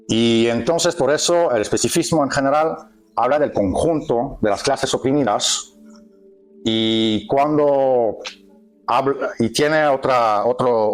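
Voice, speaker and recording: Male workshop speaker, non-native, halting and self-correcting, mid-pitched, faint music under the room. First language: not Spanish. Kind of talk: live lecture to a group. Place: meeting hall with an audience.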